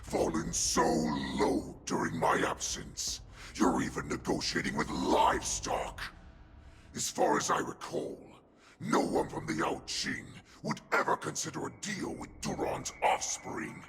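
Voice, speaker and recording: An actor playing a cruel, contemptuous beast warlord in a video game, growling out menacing, imperious commands. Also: male voice, rumbling low, huge pitch swings, fairly bright tone, slight background noise, heavily acted. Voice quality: guttural growl, gravelly. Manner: menacing, imperious, drawn-out delivery. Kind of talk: dramatic video game acting.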